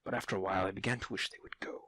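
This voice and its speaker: A man playing a mad, nervous murderer in a gothic horror audio drama, intense and theatrical, moving between breathy whispers and frantic cries. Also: low voice, fairly even intonation, rapid-fire, pushed and bright, dry recording. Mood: uneasy, mounting. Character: mad murderer, nervous man feverishly confessing his crime.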